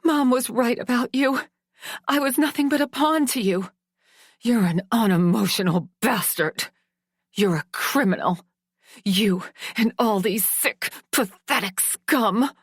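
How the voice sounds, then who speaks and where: A woman's voice: takes it slowly, no room sound, studio quality. A woman voicing a distraught young woman who pleads tearfully and screeches at her father. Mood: furious. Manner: desperate, tearful, shrill.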